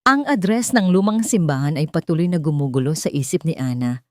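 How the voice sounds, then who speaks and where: Woman narrating a dramatic story, lowish voice. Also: expressive, fairly quick, darkish tone, dry recording.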